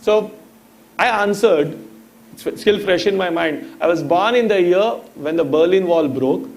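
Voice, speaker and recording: A man's voice: sits high, normal speed, a little hiss or hum, rough recording. A man in his thirties with an Indian accent, speaking as a guest in a motivational talk, teaching through stories and rhetorical questions. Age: 30s. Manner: didactic, storytelling, rhetorical questions.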